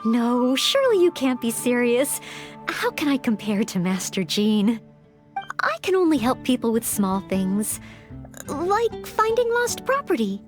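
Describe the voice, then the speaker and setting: A woman's voice: a little high, very animated, a little slow, soft background music. A woman playing a humble, polite young maid who dreams of becoming a knight, in a video game. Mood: modest, earnest. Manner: polite, eager, sincere.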